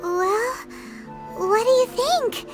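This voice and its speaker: A woman's voice: very high voice, animated, very slow, over loud music, full character voice. A woman voicing a tiny, childlike, inquisitive fairy companion in an anime video game. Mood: curious, puzzled.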